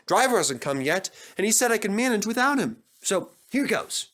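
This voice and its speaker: A cheeky young male steam engine, acted out by a man for a children's story. The voice sits high above an everyday register and swings about in pitch. He is overconfident and easily flustered. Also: big pitch swings, normal speed, fairly bright tone. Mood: smug. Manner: stammers when nervous.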